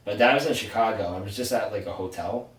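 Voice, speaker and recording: A man's voice: lowish voice, quick pace. A man in his twenties co-hosting a podcast, chatting casually in a rambling, self-correcting way. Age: 20s. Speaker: podcast co-host. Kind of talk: casual sibling chat. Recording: echoey room, distant mic.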